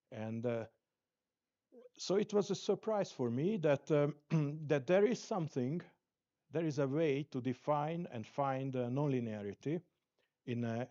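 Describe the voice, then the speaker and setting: A man from Hungary with a Hungarian accent, giving a scientific conference talk in a halting way full of hesitations. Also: medium pitch, warm tone. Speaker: invited lecturer. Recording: dull lo-fi lecture recording.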